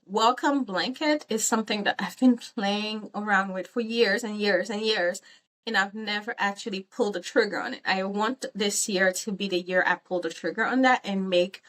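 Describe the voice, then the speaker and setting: A woman who grew up in Haiti talks to her viewers in a loose, rambling vlog. Her pitch sits squarely in the middle. She fills pauses with ums and pokes fun at herself as she goes. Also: a bit bright, rough recording. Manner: chatty, rambling, self-teasing, with frequent ums. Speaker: crochet vlogger. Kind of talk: casual vlog chat.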